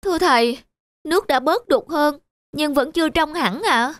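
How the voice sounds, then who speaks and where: Woman voicing a high-pitched earnest boy disciple. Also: normal speed, a bit bright, no room sound. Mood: earnest, respectful. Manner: polite, eager.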